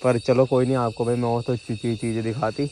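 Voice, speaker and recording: A man's voice: mid-range voice, little pitch movement, brisk, noisy background. A young man in his twenties filming a solo camping vlog on a handheld phone, outdoors in a forest at night and inside a small tent. He talks nervously, stammering and restarting words. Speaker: vlogger. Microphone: phone held at arm's length.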